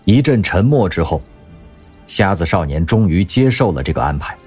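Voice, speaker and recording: A man narrating an audio drama in an expressive storytelling manner. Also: sits low, moderate pace, breathy edge, soft background music.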